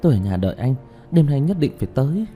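A man's voice: mid-range voice, sing-song, quick pace, dark tone, husky, faint music behind, pitched above the performer's own voice. A man voicing a polite, hesitant young female singer in an audiobook story, with an earnest manner. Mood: anxious request. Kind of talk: audiobook character dialogue.

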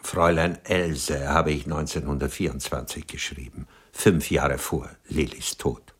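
An actor playing an elderly poet in a radio drama, a hesitant and wry former lover. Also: male voice, extremely low pitch, fairly even intonation, measured pace, breathy edge, full character voice. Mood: subdued.

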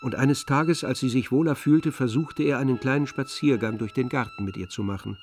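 A man giving scripted literary narration in a radio drama, in a measured, composed manner. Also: medium pitch, faint music behind.

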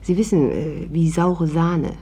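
An actress playing a wry young woman who confides her adventure as first-person narrator of a 1950s radio drama, in a husky voice. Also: sits low, a little slow, mellow, full character voice. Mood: wry, confiding.

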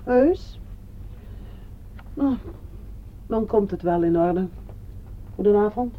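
An actress playing a nosy, suspicious landlady in a radio drama, brisk and insinuating. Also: mid-range voice, lively intonation. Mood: chatty, pressing. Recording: slightly dull radio play recording.

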